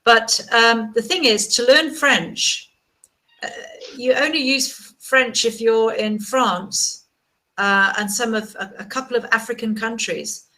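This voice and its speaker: Bright, upbeat English tutor woman. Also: on the high side, measured pace, poor audio. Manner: encouraging, chatty, repeats words. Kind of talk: casual video-call chat.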